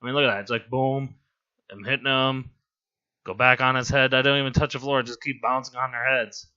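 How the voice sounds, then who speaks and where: Man, hoarse, bright forceful tone, rambling self-deprecating game commentary, dull lo-fi sound. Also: mid-range voice, steady tone, fairly quick. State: hoarse from laryngitis. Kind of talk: casual gameplay commentary voice-over.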